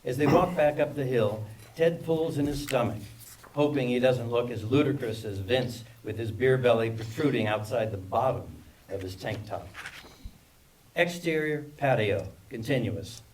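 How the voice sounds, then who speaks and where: Guy narrating a script at a table read, medium pitch, mic kinda far away. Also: moderate pace, darkish tone, slight background noise. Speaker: narrator reading stage directions. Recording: distant microphone in live reading.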